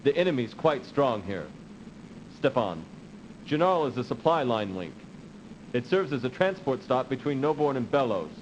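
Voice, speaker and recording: Dutiful space officer played by a man, mid-pitched, formal and cautious, video game briefing, lo-fi audio. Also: big pitch swings, lightly acted. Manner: formal, measured. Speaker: military adviser in a video game. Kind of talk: 1990s video game cut-scene acting. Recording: dull, noisy old CD game audio.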